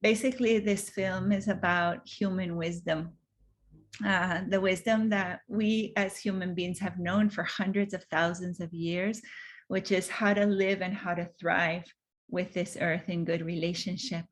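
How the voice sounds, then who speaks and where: Soft-spoken woman filmmaker from Venezuela, flat delivery. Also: fairly low pitch, unhurried. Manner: soft, with many ums. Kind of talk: online presentation. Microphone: video call.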